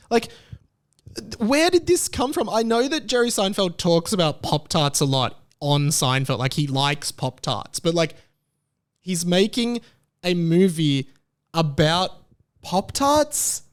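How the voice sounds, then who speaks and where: A man hosting his own podcast, chatting casually on his own in an animated, rambling way, often restarting sentences and sounding openly incredulous. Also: high voice, takes it slowly. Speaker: podcast host. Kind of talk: casual solo podcast chat.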